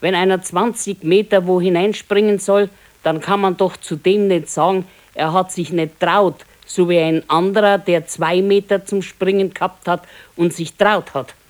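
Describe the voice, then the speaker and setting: An actress playing a practical, modest old wife with a Bavarian accent, admonishing and matter-of-fact in a radio play. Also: female voice, fairly low pitch, fairly even intonation, normal speed, close to an everyday voice. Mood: dismissive, reassuring. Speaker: radio drama actor. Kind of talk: 1970s naturalistic dialect radio play.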